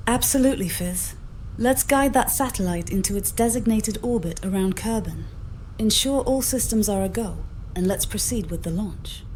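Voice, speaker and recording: A female AI copilot voice at a medium pitch, polished and formal, offering helpful, encouraging guidance for a space mission in a game. A faint bed of noise sits behind it. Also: moderate pace, a little mellow. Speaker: AI copilot assistant. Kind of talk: synthetic voice giving formal mission briefing.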